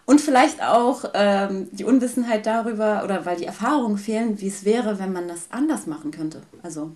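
A woman from Germany, a guest in an interview, talking about her life in a thoughtful, hesitant way with many pauses. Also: mid-range voice, animated, a little mellow. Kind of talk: personal conversation.

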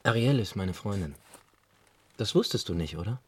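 Husky, deep-voiced knowing buddy. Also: male voice, lively intonation, moderate pace. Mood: teasing, confiding. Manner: assertive, needling. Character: teasing, knowing male friend, self-assured cellar-bar builder. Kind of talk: German radio play acting.

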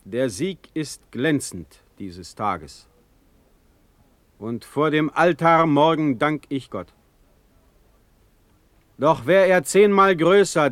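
An actor playing a stern, imperious Prussian ruler in a classical verse radio drama, speaking in a measured, formal, commanding way. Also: male voice, a little high, a bit bright, no room sound.

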